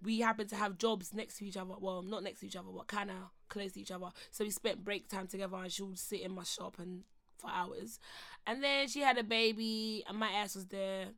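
A young woman, co-hosting a podcast in playful, loud casual chat. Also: mid-range voice, steady tone, brisk. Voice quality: husky. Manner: loud, playful, switches accents. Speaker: podcast co-host.

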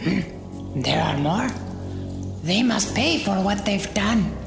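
Gravelly little Ewok warrior, voiced by a woman. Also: mid-range voice, lively intonation, slow, over music. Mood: wounded, vengeful. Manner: earnest, stilted third-person speech. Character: small solemn vengeful male Ewok, orphaned forest warrior. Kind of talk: audio drama acting.

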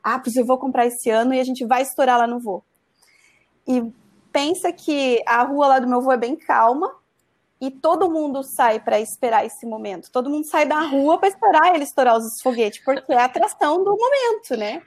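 Mulher animada contando história, voz aguda. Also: female voice, high-pitched, moderate pace. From Santa Catarina, Brazil. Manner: animated, frequent "né" tags. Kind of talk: casual storytelling.

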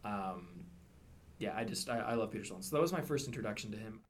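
A man's voice: on the low side, lively intonation, fast talker. A man co-hosting a podcast, eagerly recommending a thriller author in a casual, conversational way. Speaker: podcast co-host. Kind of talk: casual chat, book recommendations.

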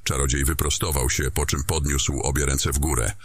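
A man narrating a scripted fantasy story in a descriptive, deliberate storytelling manner. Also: very deep voice, fairly even intonation, no echo.

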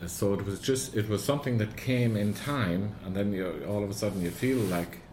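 A man with an Irish accent, reflecting haltingly in an interview with many fillers and restarts. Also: fairly low pitch, a little mellow, echoey room, slight background noise. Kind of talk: reflective oral history interview.

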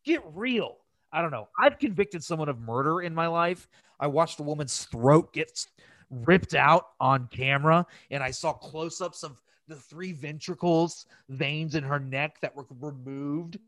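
A man's voice: a little high, a little slow, fairly bright tone, no echo. A man hosting a casual podcast, ranting in an exasperated, opinionated and profane way. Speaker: podcast host.

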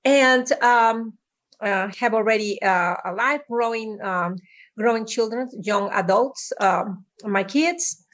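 A middle-aged woman from Mexico with a Mexican Spanish accent, a podcast guest telling her story over a remote call, with frequent pauses and restarts. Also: on the high side. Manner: halting, many ums and restarts. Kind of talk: personal story in an interview.

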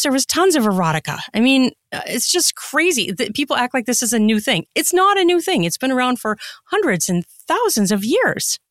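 A woman's voice: high-pitched, no room sound, clean studio sound. A woman chatting casually and candidly as an interview guest, with an earnest way of making her points. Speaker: podcast guest, writer and podcaster.

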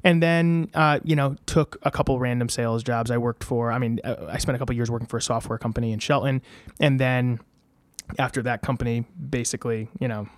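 A man in his thirties talks through his stories in a relaxed, rambling way, with plenty of fillers and restarts. His voice is mid-pitched, and the recording is dry and close. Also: a little fast, no echo. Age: 30s. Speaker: guest. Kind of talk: casual interview storytelling.

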